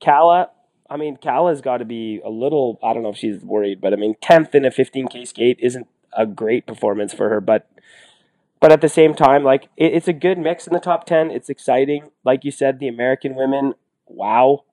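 A man with a medium-pitched voice co-hosting a casual sports podcast. He talks in an enthusiastic, emphatic way and tends to ramble. The style is loose and conversational, like analysis between friends. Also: moderate pace, warm tone. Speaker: podcast co-host and ski racing commentator.

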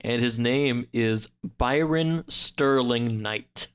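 A man speaking as a podcast guest, discussing a book in a casual, halting, conversational way. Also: mid-range voice, clear, resonant tone.

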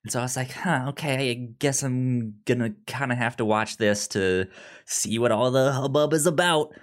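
A man's voice: medium pitch, moderate pace. A man co-hosting a casual movie review podcast, speaking enthusiastically and often repeating words as he thinks. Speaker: podcast co-host.

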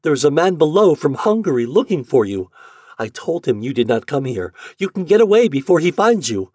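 A man voicing a young peasant girl, pleading high and urgent in an audiobook. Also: big pitch swings, brisk, darkish tone, breathy edge, dry recording, studio quality. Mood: urgent whisper.

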